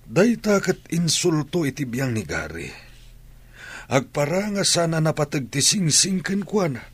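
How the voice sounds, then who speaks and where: A man's voice: fairly high pitch, big pitch swings, measured pace, husky, exaggerated voice. A man with an Ilocano accent playing a troubled, indignant middle-aged father of a grown son in a radio drama. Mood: dismayed.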